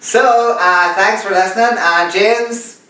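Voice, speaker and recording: A man's voice: sits high, slow pace, sharp tone, distant mic. An Irish man, a native Irish speaker, hosting a podcast in a wry, jokey way. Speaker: podcast host. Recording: very echoey room, away from mic.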